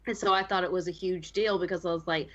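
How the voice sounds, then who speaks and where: A woman's voice: lowish voice, fairly even intonation, quick pace, muffled recording, soft background music. A woman co-hosting a gaming podcast, chatting casually and with strong opinions, often voicing her own reactions as quotes. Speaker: podcast co-host discussing a video game. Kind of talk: casual chat.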